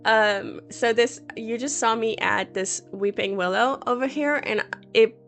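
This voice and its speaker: A woman, around her thirties, with a hoarse voice after a cold, chatting casually and rambling with many fillers over a video game build. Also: fairly high pitch, a little slow, a bit bright, soft background music. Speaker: Sims builder and YouTuber.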